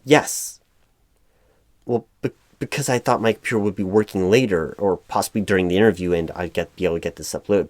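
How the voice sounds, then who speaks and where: Man playing low-voiced, halting, frustrated office manager. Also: no room sound, lightly acted. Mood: frustrated, insistent. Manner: halting, stammering, monotonous, officious. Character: frustrated, flustered male corporate recruiting manager. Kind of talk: one-sided ASMR roleplay, loud spoken.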